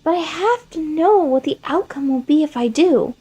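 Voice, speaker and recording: Sinister child flower, played by a woman: high-pitched, taunting, gleefully cruel storybook villain. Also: expressive, moderate pace, mellow. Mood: menacing. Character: boyish sinister talking flower, son turned gleefully cruel. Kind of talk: fan fiction character reading.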